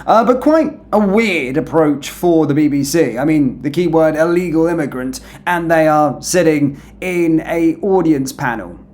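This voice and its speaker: A man's voice: on the high side, animated, measured pace, few pauses, a little mellow. A man hosting a reaction channel, talking casually to his viewers as he comments. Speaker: YouTube host reacting to clips.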